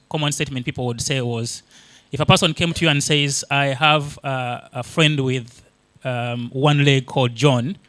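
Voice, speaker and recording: A man's voice: medium pitch, pushed and bright, no echo. A man from Uganda whose first language is Luganda, giving a conference talk and explaining things step by step with many fillers and restarts. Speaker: conference presenter.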